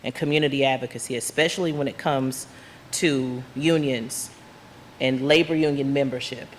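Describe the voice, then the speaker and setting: A woman from Georgia, a labor activist, giving a loud and earnest talk from the heart to a church congregation. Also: a bass voice, steady tone, normal speed. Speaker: guest speaker, labor union activist. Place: church sanctuary. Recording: long hall reverb, faint room noise.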